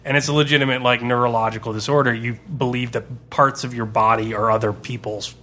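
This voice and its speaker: A man's voice: mid-range voice, steady tone, fast, sharp tone. A man in casual, sweary conversation, explaining things informally as a tabletop game master. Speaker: tabletop game master and podcast guest discussing his campaign. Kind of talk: casual chat.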